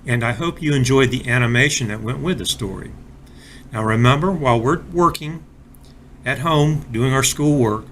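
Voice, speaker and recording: A man reading a storybook aloud for young children, deliberate and expressive, speaking directly to his listeners. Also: mid-range voice, a little hiss or hum. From North Carolina, United States. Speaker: storybook reader for children, school resource officer.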